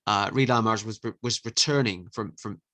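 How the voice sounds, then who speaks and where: A man hosting a podcast interview, speaking haltingly with many fillers and taking care over names. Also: on the low side, sharp tone. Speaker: podcast host. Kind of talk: casual interview chat.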